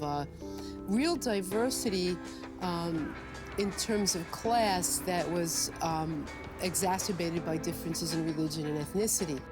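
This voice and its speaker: An older woman from New York shares memories in a documentary interview. Her voice sits a little low, and she talks in a frank, reflective way. Soft music plays faintly behind her. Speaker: interviewee recalling youth.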